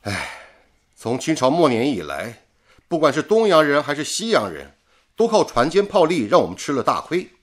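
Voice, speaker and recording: An actor playing an earnest, patriotic radio engineer in a radio drama, speaking with measured conviction. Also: male voice, a little high, very animated. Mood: resolute. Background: music.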